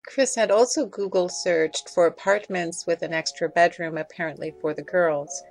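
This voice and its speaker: Low-pitched woman reading commentary over music. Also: steady tone, moderate pace. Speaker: video creator doing voice-over commentary. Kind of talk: scripted commentary narration.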